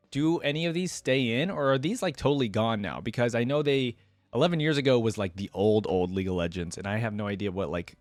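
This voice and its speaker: A man's voice: medium pitch, no echo. A man hosting a reaction video, commenting casually and musing aloud with questions for viewers.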